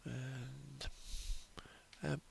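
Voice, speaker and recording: Man, slow pace, gravelly creak, casual gaming livestream, hesitant, muttering, thinking out loud. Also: mid-range voice, little pitch movement, a little hiss or hum. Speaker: livestreamer, video game host. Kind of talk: casual unscripted gameplay commentary.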